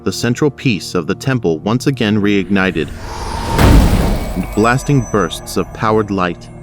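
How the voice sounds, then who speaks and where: A man's voice: lowish voice, a little slow, over music. A man narrating a scripted audio drama.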